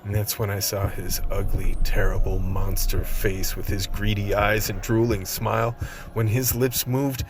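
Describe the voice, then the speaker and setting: A man's voice: fairly low pitch, little pitch movement, unhurried. A man playing a tough survivor who narrates his fight with vampires in a first-person radio play. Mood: grim, steady narration.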